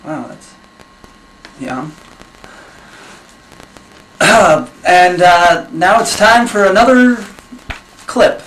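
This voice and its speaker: A man hosting a comedy special, presenting mock fun facts with an awkward, halting manner. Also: high-pitched, big pitch swings, fairly bright tone. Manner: awkward, halting between segments. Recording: echoey room with faint noise.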